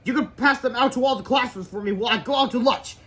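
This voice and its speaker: A man voicing an irritable woman school secretary from a storybook, giving brisk orders and scolding. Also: very high voice, pushed and bright, breathy edge, voice pushed up, full character voice. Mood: bossy.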